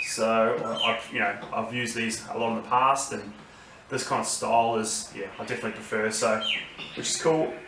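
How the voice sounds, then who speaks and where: A man with an Australian accent giving a casual, unscripted review, halting as he thinks aloud. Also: mid-range voice. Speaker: vlogger reviewing gear. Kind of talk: casual unboxing and review talk. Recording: roomy, distant mic, noisy background.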